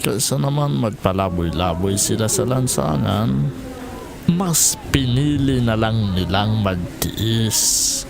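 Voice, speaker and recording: A man acts out a middle-aged father in a radio drama, helpful and kindly. His voice crackles with fry and swings up and down as he takes his time. Music plays behind him. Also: fairly low pitch, expressive, slow pace, full character voice. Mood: grave, matter-of-fact. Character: kindly, helpful father living among slum scavengers.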